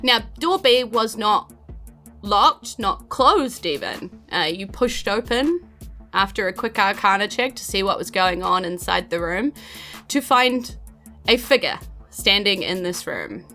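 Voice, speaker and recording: A woman's voice: mid-range voice, lively intonation, unhurried, bright, forceful tone, soft background music. A woman with a New Zealand accent narrating a tabletop role-playing podcast as game master, with playful, theatrical storytelling.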